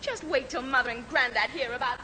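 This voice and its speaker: A woman's voice: high voice, brisk, natural voice. A woman playing a haughty, exasperated, bossy young older sister in a 1970s radio sitcom. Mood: furious. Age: young adult. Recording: hissy old radio recording, studio audience.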